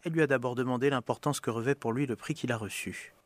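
Male news presenter, mid-pitched, reading scripted bulletins, dry close sound. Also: quick pace, no room sound. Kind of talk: scripted radio news narration.

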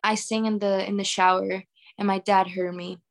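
A woman's voice: medium pitch, little pitch movement, normal speed, creaky, faint music behind. A young woman from Venezuela with a Venezuelan accent and Spanish as her first language, talking casually as a singer and filling her speech with "like". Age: young adult. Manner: chatty, filler-heavy with "like". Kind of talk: casual interview.

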